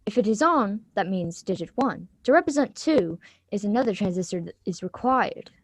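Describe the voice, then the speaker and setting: A woman's voice: medium pitch, lively intonation, normal speed, no echo. A woman playing an eager, polite schoolgirl granddaughter in a script reading of a radio drama, earnest and sometimes stumbling over lines. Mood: reciting.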